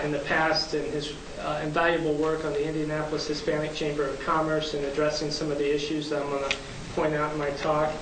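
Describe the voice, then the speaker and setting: A man's voice: a little high, fairly bright tone. A man from Kentucky, an immigration attorney, opens a forum talk with polite remarks full of "uh" fillers. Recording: echoey hall, distant mic, hiss.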